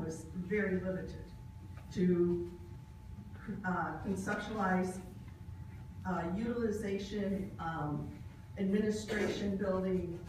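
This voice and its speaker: A woman's voice: fairly low pitch, a little mellow, slight background noise. A middle-aged woman on a committee, answering audience questions at a town hall and explaining the plan. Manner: explanatory, repeats key questions. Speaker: committee member presenting. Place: large meeting room, indoors. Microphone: handheld mic. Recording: echoey hall, taped from far off.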